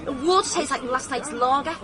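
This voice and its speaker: Brassy English working girl played by a woman for radio drama: streetwise, complaining, slightly high voice, crowd behind. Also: brisk, noisy background, natural voice. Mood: indignant, cheeky. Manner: sardonic, colloquial, complaining. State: coughing, chesty after a soaking. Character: brassy, cheeky, grumbling female escort, streetwise. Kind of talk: BBC radio drama acting.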